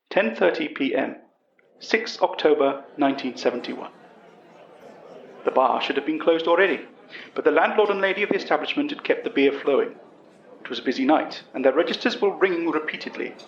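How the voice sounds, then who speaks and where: A male narrator tells a dramatic story in deliberate, literary style. His voice is creaky yet slightly high in pitch. The recording is echoey. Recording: muffled, echoey room sound.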